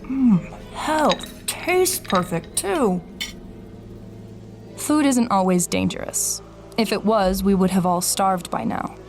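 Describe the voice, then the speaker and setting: A woman's voice: mid-range voice, expressive, slow pace, over music. A woman playing an anxious, suspicious traveller in an audio drama, hesitant and introspective as she acts and narrates. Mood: uneasy wonder. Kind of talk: audio drama acting with first-person narration.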